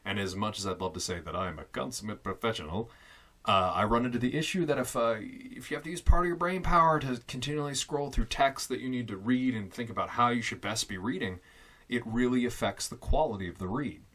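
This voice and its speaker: A young man talking casually and loudly into a fixed studio mic in a small foam-lined closet, rambling with fillers and amused asides. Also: medium pitch, brisk. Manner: loud, chatty and self-amused, with many fillers and restarts. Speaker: vlogger testing a home studio. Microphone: fixed studio condenser mic on a stand.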